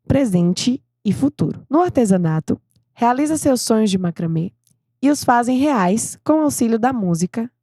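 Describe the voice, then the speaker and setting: A woman with a slightly raised pitch reads the show's opening and guest introduction. Her tone is mellow rather than bright, and she paces it like a prepared script. The sound is dry and close. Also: no room sound. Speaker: podcast co-host.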